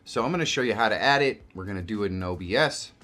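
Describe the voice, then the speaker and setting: A man in his fifties with a fairly low voice presents a how-to video. He explains things directly and casually, like a creator talking to his viewers. Music plays clearly behind him. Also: animated, fast, fairly bright tone. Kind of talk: tutorial narration to camera and screen. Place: small indoor home studio.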